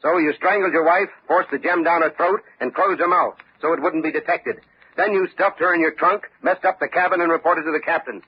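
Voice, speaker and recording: An actor playing a shrewd, unflappable police lieutenant in a radio detective drama, speaking methodically and with confidence. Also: male voice, a little high, fairly quick, breathy edge. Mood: coolly accusing. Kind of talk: old-time radio detective drama acting. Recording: dull vintage radio recording.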